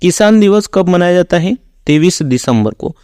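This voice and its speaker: A man's voice: high-pitched, lively intonation, normal speed, a little mellow, smooth, dry recording, studio quality. A man working as an exam-prep teacher, reading out quiz questions and explaining the answers in an instructional, routine way.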